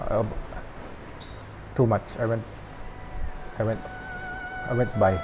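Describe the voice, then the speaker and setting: A gaming streamer giving casual, low-key, somewhat hesitant gameplay commentary. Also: steady tone, slow pace, dull audio, over music. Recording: clearly noisy, ambient background.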